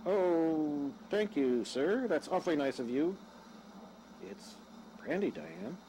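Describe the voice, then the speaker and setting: A man's voice: fairly high pitch, sing-song, takes it slowly, warm tone, poor audio. A man playing a casual, polite young husband, a stranded traveler in an audio drama. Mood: polite, apologetic. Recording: faint background noise.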